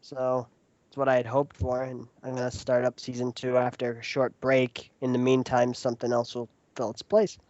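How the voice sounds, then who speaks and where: A man's voice: medium pitch, completely flat delivery, normal speed, darkish tone, no room sound, muffled recording. A man chatting casually as a regular on a podcast, speaking in short plain phrases. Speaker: podcast regular, video reviewer. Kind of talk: casual chat.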